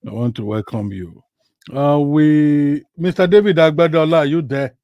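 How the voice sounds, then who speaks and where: A male host with a mid-pitched voice who hesitates and restarts often while welcoming and questioning callers. His voice is recorded dry and close, with no room echo. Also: moderate pace, lo-fi sound. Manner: hesitant, many fillers and restarts. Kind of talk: live call-in talk show.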